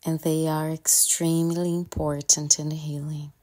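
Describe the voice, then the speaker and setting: A woman guiding a meditation in a calm, gentle way, leaving long pauses between short phrases. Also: low-pitched. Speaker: meditation guide. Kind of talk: guided meditation, soft and soothing instruction.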